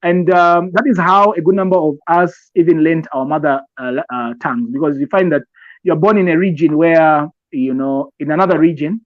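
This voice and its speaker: A man with a Zambian accent and a slightly high voice talks in a conversational, advisory way. He explains deliberately and haltingly, repeating phrases as he goes. The recording is dry and a little dull. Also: no room sound. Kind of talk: conversational advice talk with personal examples.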